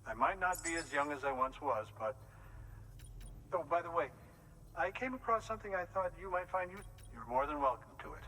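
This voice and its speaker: An actor playing an earnest, grateful older shelter dweller in a game, speaking courteously and formally. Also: male voice, on the high side, lively intonation, brisk, bright, forceful tone, slight background noise, poor audio. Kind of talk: scripted game dialogue.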